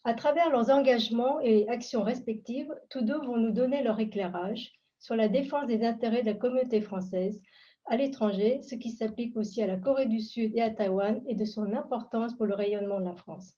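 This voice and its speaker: A woman giving a measured, formal prepared introduction as moderator on a video call. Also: on the high side, warm tone. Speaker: candidate and event moderator. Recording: muffled lo-fi video call audio.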